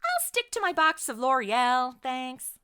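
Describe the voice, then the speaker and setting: A female podcast host with a bright, forward voice and very animated pitch. She is playful and quippy, steering comedic interview banter with jokes and prompts. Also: measured pace, clear, resonant tone.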